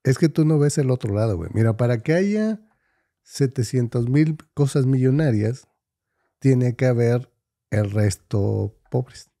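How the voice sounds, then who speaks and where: A skeptical Mexican man in his thirties counters his co-host with examples and statistics. His voice is gravelly and dark-toned. It is recorded dry on a close studio mic. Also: medium pitch, expressive, measured pace, no room sound. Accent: Mexican Spanish. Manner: skeptical, reasoning with examples, laughs often. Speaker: podcast co-host. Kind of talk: heated casual debate. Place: small studio with foam panels. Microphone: studio mic, headphones.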